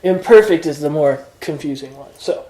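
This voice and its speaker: A man's voice: fairly high pitch, normal speed, dark tone, roomy sound, rough recording. A man teaching introductory Greek grammar in an informal lesson, explaining patiently step by step with examples. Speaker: Greek tutor.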